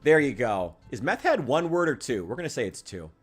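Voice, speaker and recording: Young guy streaming, swearing a lot, voice going hoarse, goes from loud hype to quiet mumbling, faint music behind. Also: fairly quick. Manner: animated, profane, swings between loud hype and low mutters. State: losing his voice. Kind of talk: casual live-stream chat while gaming.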